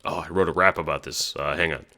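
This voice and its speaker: Man voices slacker teen boy for audio drama: very low, gravelly, halting, slangy, sincere and foul-mouthed. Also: fairly even intonation. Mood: awkward, sincere.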